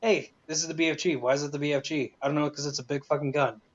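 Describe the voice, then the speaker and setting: A man's voice: on the high side, fairly even intonation, fast, highs cut off. A man giving his opinions in casual, informal talk as a panelist. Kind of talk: casual movie opinion chat.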